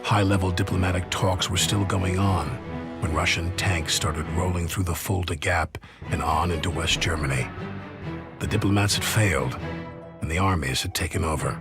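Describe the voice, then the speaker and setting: A man narrating a war game's story as a solemn chronicler, in measured scripted delivery. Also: low-pitched, fairly even intonation. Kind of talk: scripted documentary-like game narration. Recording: loud music under the voice.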